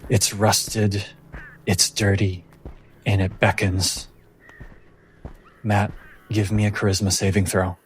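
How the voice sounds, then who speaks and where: A man narrating a tabletop fantasy game as game master, with deliberate, suspenseful phrasing and dramatic pauses. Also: fairly low pitch, little pitch movement, a little hiss or hum. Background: music.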